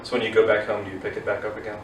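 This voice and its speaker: A man's voice: fairly low pitch, flat delivery, very fast. A man hosting a casual interview as a church pastor, asking questions in a conversational way. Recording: echoey, distant mic, faint noise.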